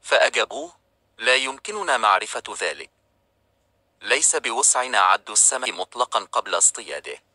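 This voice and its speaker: A man narrating a children's storybook in a calm, even reading style. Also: medium pitch, normal speed, no room sound.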